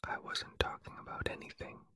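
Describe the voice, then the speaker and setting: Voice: pushed and bright, no room sound, clean studio sound, natural voice. A reader whispering the lines of a simple, puzzled teddy bear in a storybook. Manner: mild, slow-witted, innocent.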